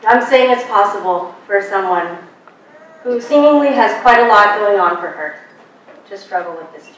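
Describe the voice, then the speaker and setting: A young woman giving a prepared commencement speech in a measured, earnest way, speaking at a podium in a large lecture hall. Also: mid-range voice, expressive. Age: young adult. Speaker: graduate student commencement speaker. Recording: distant, very echoey hall, faint noise.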